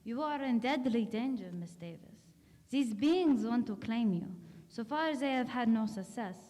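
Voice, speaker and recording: Grave lady investigator , acted by a woman, radio mystery: brusque authority, deliberate phrasing, somewhat high pitch. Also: lively intonation, warm tone, natural voice. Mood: grave, commanding. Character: commanding, grave woman doctor investigating the supernatural. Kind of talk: radio drama acting. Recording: long hall-like reverb.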